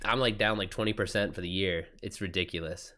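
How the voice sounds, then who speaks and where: A man's voice: deep voice, fairly even intonation, brisk, sharp tone. A man with an American accent chatting informally on a livestream as host, using slang and reacting aloud to viewer comments. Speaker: livestream host and self-published author. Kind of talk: casual livestream chat, answering viewer comments.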